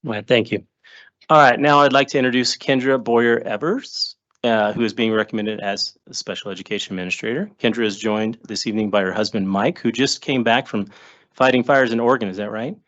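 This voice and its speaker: A man's voice: mid-range voice, a little fast. This is a man speaking into a table microphone at a school board meeting. He reads out new staff backgrounds in a chatty, easy way and adds little jokes. The audio is dull and lo-fi. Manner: joking asides, chatty and relaxed. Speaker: school district administrator introducing new hires. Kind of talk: informal remarks at a public board meeting.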